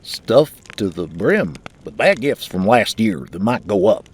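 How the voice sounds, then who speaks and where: A man voicing a storyteller who recites a rhyming holiday poem in a slow, measured rhythm. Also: fairly low pitch, big pitch swings, slight background noise. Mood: storytelling. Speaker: voice actor. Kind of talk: fan dub rhyming storybook narration.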